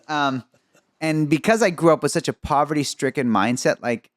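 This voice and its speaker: A man from Egypt hosting a podcast, upbeat and chatty with quick asides. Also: on the high side, no echo, studio quality. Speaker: podcast host. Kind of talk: conversational interview.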